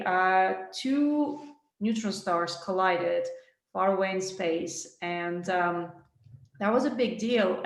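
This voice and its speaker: A woman science writer giving an informal, colloquial webinar talk from a room over a video call. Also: medium pitch. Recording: roomy echo on video call.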